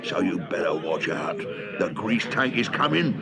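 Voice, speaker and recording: A man voicing a bellowing, menacing dirty sprite chief in a children's story, gloating in booming, drawn-out phrases. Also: very animated, gravelly, crowd noise, full character voice. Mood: menacing, triumphant.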